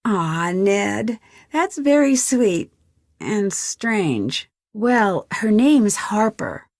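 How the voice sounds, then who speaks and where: A woman's voice: medium pitch, dry recording. Young woman sleuth for a mystery game: mid-range, friendly, earnest, lightly wry, close dry studio sound. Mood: earnest, curious. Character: young female detective, curious, friendly, level-headed. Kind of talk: conversational game dialogue, one side of phone calls.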